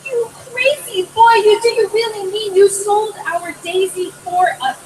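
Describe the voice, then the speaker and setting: A woman doing a shrill scolding mother. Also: fairly even intonation, unhurried. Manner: shrill, exasperated shrieks. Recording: distant mic, clear background noise.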